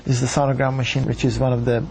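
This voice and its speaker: A doctor from Iran telling his story in an earnest, measured way. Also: mid-range voice, little pitch movement, gravelly, darkish tone, a little hiss or hum. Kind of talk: reflective personal account.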